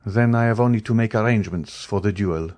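Man playing an earnest, indignant young gentleman. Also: fairly low pitch, brisk, natural voice. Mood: taken aback. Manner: formal, stiffly courteous, insistent.

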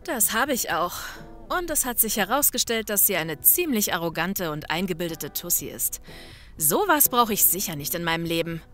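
An actress playing a brash, sharp-witted female police investigator, sassy and matter-of-fact, in a German comedy audio drama. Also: lowish voice, very animated, moderate pace, faint music behind. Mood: confident, dismissive.

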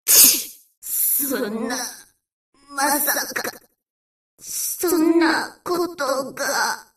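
A woman voicing a haughty, menacing female warship monster for an anime game, halting and imperious. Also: sits high, fairly bright tone, full character voice. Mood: cold menace. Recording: echoey, slightly distant.

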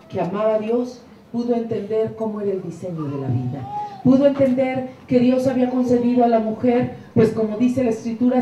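High-voiced woman preaching fervently on a handheld mic, echoey room taped from far off. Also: a little hiss or hum. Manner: fervent, with hushed prayerful passages and soaring emphatic lines. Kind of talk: inspirational church talk. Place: room with curtained wall, indoors. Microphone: handheld mic, filmed from a distance.

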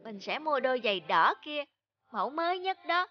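A woman voicing a fickle, whiny young schoolboy in a children's cartoon, childlike and expressive. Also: high-pitched, normal speed, highs cut off, over loud music. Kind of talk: Vietnamese children's cartoon dubbing.

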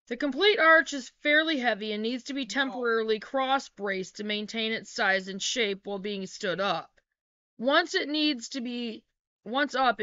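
Bright-voiced woman reading a how-to. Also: a little high, no echo. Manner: plain, practical, with small self-corrections. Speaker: homesteading vlogger. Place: small wood-paneled room indoors. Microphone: laptop webcam. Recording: dull, lo-fi webcam sound.

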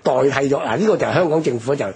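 A very raspy male voice with a Hong Kong Cantonese accent, swinging widely in pitch. He explains history off the cuff as a radio guest, stressing his points and tagging them with rhetorical questions. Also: animated, rapid-fire, a little mellow. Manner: explanatory, emphatic, frequent rhetorical tags. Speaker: history commentator, programme guest.

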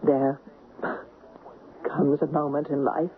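An actress playing an anguished, fervent young woman in love in a radio drama, with dramatic, deliberate delivery. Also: low voice, level tone. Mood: solemn, resolute. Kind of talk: 1970s radio drama acting. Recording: thin phone-like 1970s radio, faint noise.

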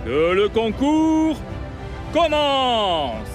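A man's voice: very high-pitched, wildly swooping pitch, over music, full character voice. A man playing a pompous older town mayor in a cartoon, announcing in a grandiose, theatrical way. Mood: grandiose, ceremonial. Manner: grandiose, drawn-out announcer delivery.

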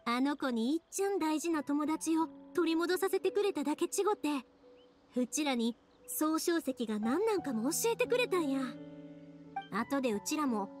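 A woman playing a cheerful, warm-hearted young anime game heroine, speaking in a spirited, encouraging way with a Kansai accent. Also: high voice, expressive, normal speed, faint music behind. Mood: enthusiastic. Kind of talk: Japanese anime game voice acting.

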